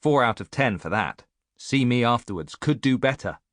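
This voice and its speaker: A man's voice: medium pitch, expressive, moderate pace, fairly bright tone, dry recording, clean studio sound, close to an everyday voice. A man reading an audiobook as a reflective, self-mocking woman in her late thirties, musing and confiding as in a letter. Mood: wry. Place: dry recording studio.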